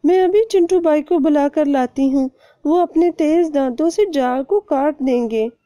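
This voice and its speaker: A woman plays a kind little female bird from a cartoon tale. Her voice is high and very mellow, with an earnest, polite manner. She sounds caring and helpful, in a close dry recording. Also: a little slow, no echo. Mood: urgent.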